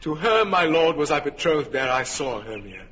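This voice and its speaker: An actor playing an earnest young lover who speaks heartfelt verse in a classic radio drama. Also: male voice, on the high side, big pitch swings, normal speed, fairly bright tone, long reverb tail. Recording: muffled old radio recording.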